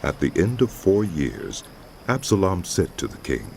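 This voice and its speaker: A man with an extremely low, creaky voice narrates a dramatized scripture story. His delivery is scripted and widely varied in pitch, over noticeable background noise. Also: very animated, a little slow, a little mellow.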